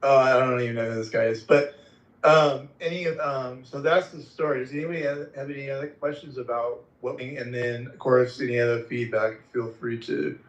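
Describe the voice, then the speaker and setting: A man's voice: medium pitch, fairly even intonation, brisk. Muffled, echoey man moderating casually. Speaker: host and moderator of a live group audio room. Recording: muffled, echoey online group audio.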